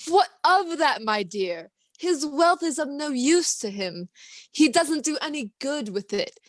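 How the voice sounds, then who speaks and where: A teenage woman acting a good-natured, forgiving young gentleman in a radio play, animated and good-humored. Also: high-pitched, a little slow, no echo. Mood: cheerful, forgiving.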